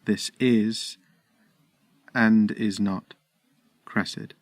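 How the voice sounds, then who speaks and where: An actor playing a heartbroken, disbelieving young Trojan prince, halting and declamatory in Shakespearean verse. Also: male voice, lowish voice, fairly even intonation, no room sound. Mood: stunned disbelief. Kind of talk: theatrical Shakespearean verse acting.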